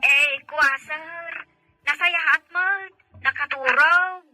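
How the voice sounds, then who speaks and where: A woman's voice: high-pitched, fairly even intonation, takes it slowly, pushed and bright, soft background music, exaggerated voice. A woman with an Ilocano accent acting a deferential nanny answering her boss in an audio drama.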